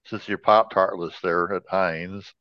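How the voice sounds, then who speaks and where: A man's voice: deep voice, steady tone, measured pace, no echo, dull audio. A man hosting a podcast in casual, joking chat, tossing in wisecracks. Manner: wisecracking, jokey. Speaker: podcast host.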